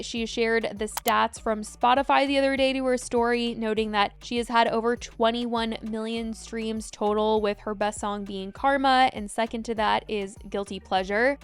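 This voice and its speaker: Smooth-voiced young woman gossip host. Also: on the high side, no room sound. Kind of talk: scripted celebrity gossip recap.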